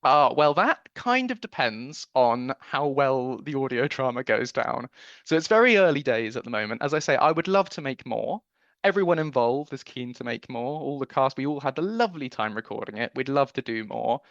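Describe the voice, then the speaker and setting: A man's voice: medium pitch, animated, normal speed, fairly bright tone, no room sound, dull audio. A man giving a conversational radio interview as a guest producer, speaking in an earnest, enthusiastic way.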